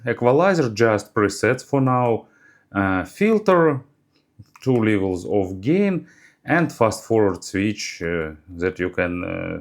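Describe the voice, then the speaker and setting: A man narrating a hands-on product review as a reviewer, speaking in halting, hesitant phrases. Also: lowish voice.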